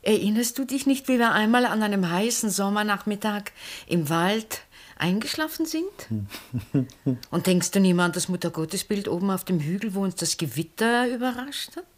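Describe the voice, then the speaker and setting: An actress playing a vivacious, effusive middle-aged former stage star with an Austrian accent in a 1960s radio drama. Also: female voice, medium pitch, lively intonation, normal speed, natural voice. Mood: nostalgic, animated. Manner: theatrical, effusive, often breaking into laughter. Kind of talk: 1960s German-language radio drama acting.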